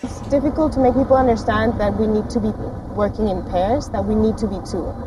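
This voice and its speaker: A woman's voice: medium pitch, steady tone, fairly quick, over music. A young woman from Spain, a freelance interpreter speaking earnestly in a documentary interview. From Madrid, Spain. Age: young adult. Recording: slightly distant mic.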